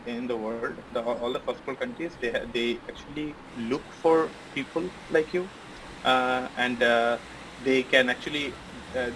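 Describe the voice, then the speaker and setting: A man's voice: medium pitch, a bit bright, a little hiss or hum, poor audio. A man from Bangladesh, a podcast guest, answering interview questions in a casual, hesitant way with many fillers and restarts. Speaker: podcast guest, software engineer.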